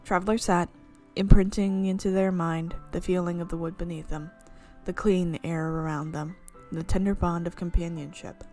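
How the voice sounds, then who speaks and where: A woman streaming a visual novel, reading the narration aloud with expressive, playful reactions. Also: lowish voice, moderate pace, hardly any pauses, soft background music. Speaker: game streamer.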